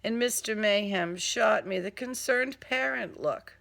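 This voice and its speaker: A woman narrating a first-person crime story in a deliberate, dramatic, sardonic manner. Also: medium pitch, gravelly, fairly bright tone. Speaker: author reading own crime novel.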